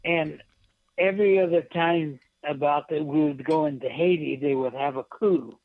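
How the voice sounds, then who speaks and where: Older American man, higher-pitched, muffled line. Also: normal speed, no echo. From United States. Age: 70s. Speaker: guest, poet and veteran. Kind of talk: interview reminiscence. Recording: dull, muffled radio interview line.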